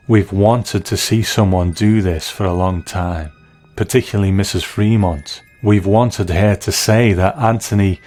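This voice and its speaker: A man with a low-pitched voice narrates a scripted television review as its host. His phrasing is measured and reflective, with music playing underneath. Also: a little mellow.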